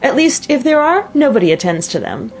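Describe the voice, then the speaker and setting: Plucky little girl played by a woman, high pitch, earnest, indignant, lively intonation, storybook heroine. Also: a little fast, vocal fry. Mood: complaining. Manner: earnest, complaining.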